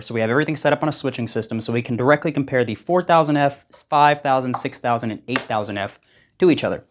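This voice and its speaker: A man hosting a casual shop product video with upbeat, informal sales patter. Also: mid-range voice. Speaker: YouTube host for an audio-video shop.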